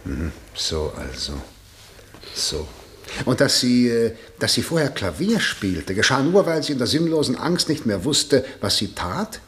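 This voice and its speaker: An actor playing a formal, probing state prosecutor in a German radio play, putting clipped questions. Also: male voice, medium pitch, very animated, a little slow, a bit bright, a little hiss or hum. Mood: pensive probing.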